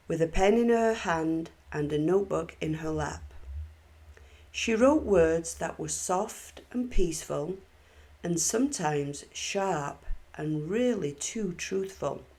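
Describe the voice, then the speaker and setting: A woman reads a children's storybook aloud in a fairly low voice with a creaky edge. She takes her time, phrasing each line deliberately and expressively. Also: darkish tone. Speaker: storybook reader.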